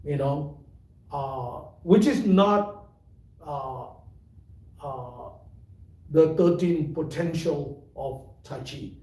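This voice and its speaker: A tai chi teacher with a mixed Malaysian and British accent, giving an unhurried, rambling livestream talk full of fillers. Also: male voice, a little high, mellow. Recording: echoey room, voice from far off.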